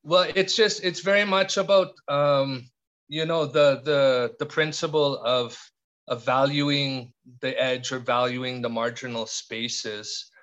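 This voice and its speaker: Middle-aged Canadian man, podcast guest, reflective and halting, dull lo-fi audio. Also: mid-range voice, steady tone, a bit bright. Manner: thoughtful, halting, many restarts and fillers. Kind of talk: reflective conversational interview.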